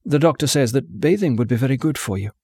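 A fragile, eager young woman with a soft, pleading manner, put on by a male audiobook reader. Her voice sits well above the reader's own low register. The recording is close and dry. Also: expressive, fast talker, husky, no room sound. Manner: soft, pleading, rising to tearful.

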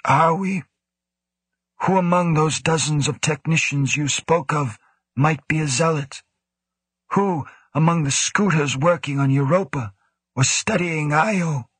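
A man's voice: on the high side, a little slow, a bit bright, slightly rough, no room sound, voice pushed up. A man voicing a stern, formal male station director who speaks in clipped, commanding sentences.

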